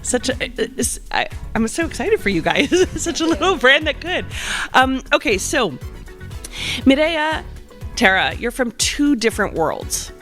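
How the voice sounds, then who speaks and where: A woman hosting a casual podcast interview, enthusiastic and quick to react to her guests. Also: high-pitched, big pitch swings, a bit bright, soft background music. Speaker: interviewer, host.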